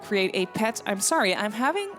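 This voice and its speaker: A young woman with a Dutch accent, chatting casually over a game build, with frequent ums and soft laughs. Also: mid-range voice, sing-song, normal speed, music in the background. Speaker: Sims builder and YouTuber.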